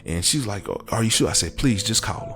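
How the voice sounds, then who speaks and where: Male American interview guest, lowish voice, earnest testimony with emphatic repetition, faint music behind. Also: expressive, fast, gravelly. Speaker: show guest, crash survivor.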